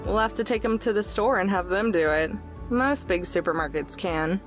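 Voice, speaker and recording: A woman's voice: medium pitch, normal speed, music in the background, lightly acted. A woman voicing a blunt, guarded young woman in a visual novel, with a dry, defensive manner. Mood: casual.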